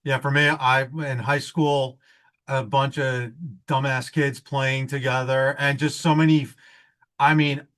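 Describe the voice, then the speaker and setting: A man in his late thirties talking slowly and self-deprecatingly about his past bands. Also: mid-range voice, level tone, a bit bright. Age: 30s. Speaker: band member. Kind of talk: casual interview chat.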